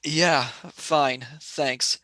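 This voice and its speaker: Brief: man as an earnest young companion, bright dry voice, radio play. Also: a little high, fairly even intonation, extremely slow, no echo, heavily acted. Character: brave young man, space traveller's companion. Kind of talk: amateur radio play acting.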